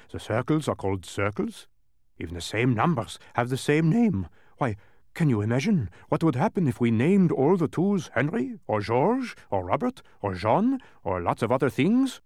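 A man voicing a fussy, pedantic, excitable dodecahedron creature in a storybook, with an emphatic, scolding manner. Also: mid-range voice, no room sound, studio quality, voice pushed up, lightly acted. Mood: exasperated, admonishing. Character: fussy, exacting many-faced dodecahedron, male, excitable.

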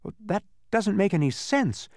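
A man's voice: high-pitched, big pitch swings, moderate pace, a little mellow, dry recording, clean studio sound, voice pushed up. A man voicing a timid, earnest storybook boy who hesitates and pleads as he tries to explain himself. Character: timid, earnest boy traveler, polite and flustered.

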